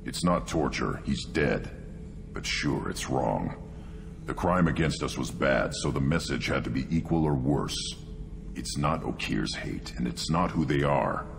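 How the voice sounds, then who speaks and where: A hulking young alien warrior from a video game, brooding and bloodthirsty. His voice is extremely low and heavily gravelled, growling out intense, halting thoughts in a long reverb over loud music. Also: expressive, background noise, full character voice. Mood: grim conviction. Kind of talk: video game character dialogue.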